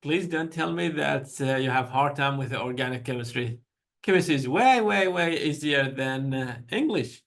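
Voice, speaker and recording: A man's voice: medium pitch, expressive, moderate pace, vocal fry, fairly bright tone. A man whose first language is Persian, giving a university chemistry lecture in a chatty way, with many fillers and small grammar slips.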